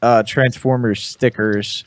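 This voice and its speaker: A man's voice: medium pitch, steady tone, slow pace, slight background noise. A man chatting casually as a panelist on a hobby podcast.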